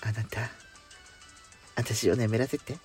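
A man's voice: lowish voice, fairly even intonation, slow, a little mellow, husky, soft background music, full character voice. A man playing a coy, clingy, pampered sweetheart with feminine speech in an intimate bedtime audio drama. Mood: clingy, drowsy. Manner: coy, teasing, feminine wording.

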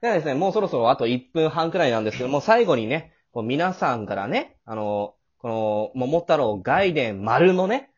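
A man's voice: medium pitch, very animated, moderate pace, hardly any pauses, slightly rough. A man hosting a casual cast chat in a teasing, jokey manner. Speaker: host of a radio drama cast talk. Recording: muffled lo-fi audio.

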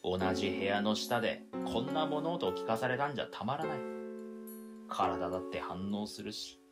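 Actor as a low-voiced exasperated young man. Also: animated, normal speed, loud music behind the voice, lightly acted. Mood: weary, exasperated. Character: exasperated young man narrating his own story, long-suffering. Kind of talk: first-person scripted narration in audio drama.

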